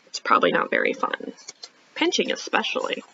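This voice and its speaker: A woman leading a storytime for young children as a librarian, talking directly to the kids with questions and pauses. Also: bright, forceful tone, lo-fi sound, a little hiss or hum. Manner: child-directed, asking questions and pausing for answers.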